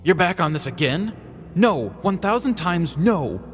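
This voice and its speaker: A man's voice: high-pitched, very animated, unhurried, big-room sound, faint music behind, voice pushed up. A man voicing a pushy imaginary woman roommate who pleads in a raised voice. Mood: pleading.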